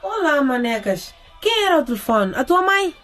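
Lively, sweet young schoolgirl. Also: high-pitched, moderate pace, soft background music. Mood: sweet, tired. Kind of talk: radio drama acting.

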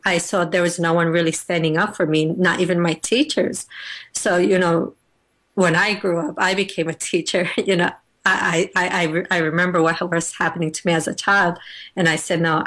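A woman's voice: fairly low pitch, fairly bright tone. A middle-aged woman from Afghanistan, a teacher and author, talking as a guest in a radio interview, with many restarts and fillers.

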